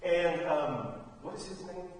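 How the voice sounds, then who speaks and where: An American man giving an informal, folksy speech as a theater director honoring students, halting and often repeating phrases. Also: sits high, steady tone, a little mellow. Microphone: distant microphone. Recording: very echoey hall, distant mic, faint noise.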